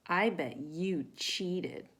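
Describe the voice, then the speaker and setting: A woman's voice: fairly low pitch, a bit bright, breathy edge, full character voice. A woman voicing a mean, scornful little schoolgirl bully in a storybook, drawing out her taunts. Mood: taunting, scornful. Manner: drawn-out, taunting.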